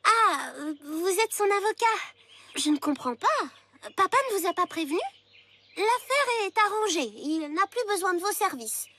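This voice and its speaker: A woman's voice: very high voice, expressive, normal speed, sharp tone, slight background noise, full character voice. A woman voicing a young girl who is eager and scheming, in a cartoon. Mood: polite.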